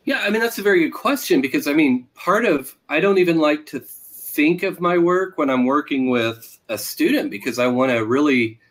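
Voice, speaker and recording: Halting middle-aged man in interview. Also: fairly high pitch, animated, a bit bright. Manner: halting, circling, self-interrupting. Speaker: author being interviewed, teacher. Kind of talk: conversational interview answers.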